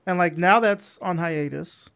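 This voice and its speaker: A man hosting a podcast interview, relaxed, recapping what his guest said before asking a question. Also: high voice, unhurried, creaky. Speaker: podcast host.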